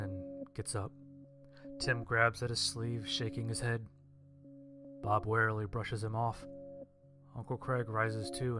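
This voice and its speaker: A man reading a scripted story as its narrator. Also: on the low side. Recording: music behind the voice. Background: music.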